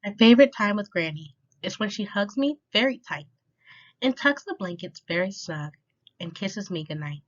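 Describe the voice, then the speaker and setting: Female storytime reader, expressive rhyming picture-book delivery, animated intonation, slightly muffled low-fidelity recording. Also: moderate pace. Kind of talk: children's picture book read-aloud. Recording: dull lo-fi sound.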